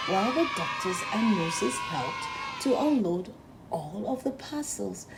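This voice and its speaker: A woman narrating a children's storybook aloud in a gentle, deliberate, expressive storytelling manner. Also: mid-range voice, background noise. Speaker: storybook reader.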